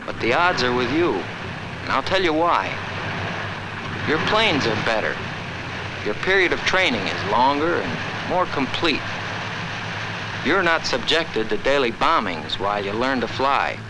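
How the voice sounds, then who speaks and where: A man's voice: mid-range voice, big pitch swings, measured pace, long pauses, heavy background noise. A man speaking as a fighter pilot in scripted 1940s military training film narration, on an old film soundtrack. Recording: 1940s film soundtrack, loud music bed.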